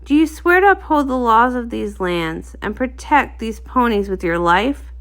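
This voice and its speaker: Commanding female pony captain for a storybook, acted by a woman, stern, ceremonious, fairly high-pitched. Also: lively intonation, measured pace. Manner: formal, ceremonious.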